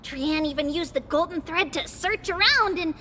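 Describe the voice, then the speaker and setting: A woman voicing a bubbly, excitable, childlike little girl in an anime-style game. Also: very high-pitched, normal speed, a little hiss or hum. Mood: excited, proud. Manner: excitable, third-person childlike talk. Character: bubbly childlike little girl who speaks of herself by name.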